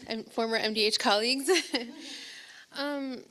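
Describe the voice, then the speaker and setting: A young woman researcher from Ethiopia speaks on a public health panel. Her voice sits fairly high. She talks earnestly, with frequent fillers and self-corrections. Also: steady tone, a little slow, sharp tone. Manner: earnest, many fillers and restarts. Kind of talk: panel talk.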